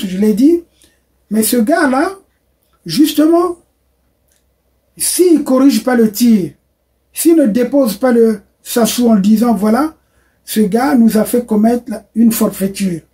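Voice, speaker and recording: A man's voice: very high voice, warm tone. A middle-aged man hosting a political discussion, affirming his guest with "voilà" and drifting into long explanations. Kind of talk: political talk show discussion.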